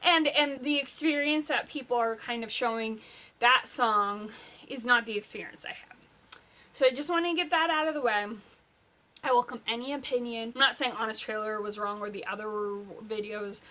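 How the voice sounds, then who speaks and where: Bright-voiced young woman vlogger, fairly high. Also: normal speed. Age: young adult. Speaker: host of a pop culture vlog. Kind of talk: casual opinionated vlog chat.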